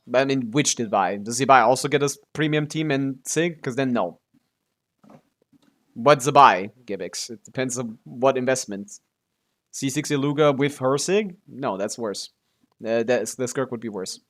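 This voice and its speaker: A man casually commentating on a game livestream, swearing freely as he reacts aloud and talks to himself and his chat. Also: medium pitch. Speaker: game streamer.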